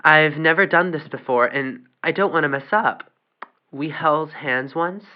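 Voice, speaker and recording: A woman voicing a shy, awkward young man calling a radio show, halting and bashful. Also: deep voice, lo-fi sound. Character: shy, awkward young man nervous about vampire romance.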